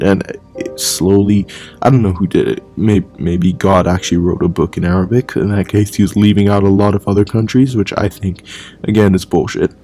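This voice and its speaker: A man talking bluntly in a casual monologue for his vlog, rambling and swearing freely, sometimes speaking low and hushed at home at night. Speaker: vlogger.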